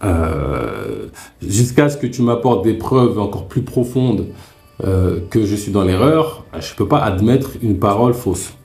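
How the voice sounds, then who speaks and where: A man's voice: lowish voice, very animated, unhurried, creaky, darkish tone, echoey room, faint music behind. A man hosting a live stream, earnestly explaining his views in a casual spoken monologue.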